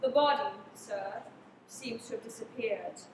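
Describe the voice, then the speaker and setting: High, echoey deferential butler played by a woman. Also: measured pace, natural voice. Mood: dutiful, puzzled. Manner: formal, obedient. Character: deferential, unruffled household butler George. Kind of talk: live radio play acting. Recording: very echoey, distant, rumbling background noise.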